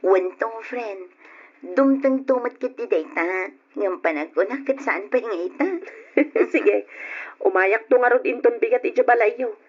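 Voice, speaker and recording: A woman's voice: on the high side, unhurried, husky. A woman with an Ilocano accent playing a cheerful, chatty young working wife in a radio drama. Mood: friendly, chatty. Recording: dull lo-fi old radio recording.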